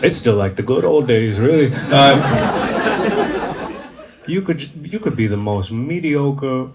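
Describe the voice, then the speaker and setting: A man from Sri Lanka doing conversational stand-up comedy in a hesitant, deadpan way on a stage microphone at a live venue. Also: medium pitch, measured pace, over a noisy crowd. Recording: noisy live show recording.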